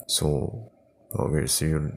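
A man chatting casually and haltingly, improvising as he goes and repeating words. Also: rumbling low, little pitch movement, darkish tone, slightly rough. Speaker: prank call video creator, host. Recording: noisy home recording.

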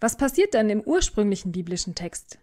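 A woman's voice: medium pitch, big pitch swings, brisk, dark tone. Female podcast moderator, middle register, prepared interview delivery. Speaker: podcast host.

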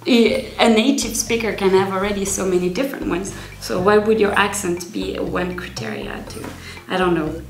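A woman's voice: mid-range voice, normal speed, creaky. A woman musing aloud in interview answers, speaking English. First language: French. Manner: musing, unsure. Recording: echoey room, faint music.

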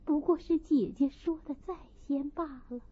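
A woman voicing a gentle, frail young concubine, tearful and resigned, in an audiobook. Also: sits high, moderate pace, pitched above the performer's own voice, lightly acted. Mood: earnest. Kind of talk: audiobook character acting. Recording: muffled, thin phone-like sound.

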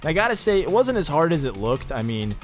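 A young man giving casual, excitable let's-play commentary over his gameplay, chatting with jokey asides. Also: fairly high pitch, quick pace, soft background music. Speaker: gaming YouTuber.

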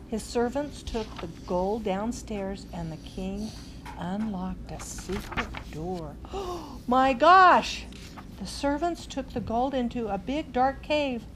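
An older woman reading a children's storybook aloud as a grandmother, expressively, with exclaimed asides. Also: on the high side. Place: large indoor lodge room with fireplace. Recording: clearly noisy room, ambient sound.

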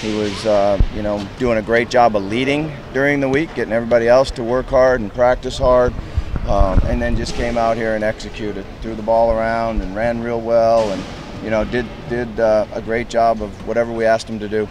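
A man in his fifties, a head football coach, answering reporters outdoors at a stadium in a plain, measured way with lots of "you know" fillers. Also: medium pitch, fairly even intonation, background noise. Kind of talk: post-game press interview.

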